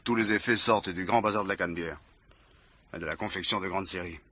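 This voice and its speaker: A low, husky police inspector from a radio mystery, with a forceful edge. He reports to his superior like a dutiful subordinate, factual and clipped. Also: fast, no echo. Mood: businesslike. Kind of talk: French radio mystery play acting.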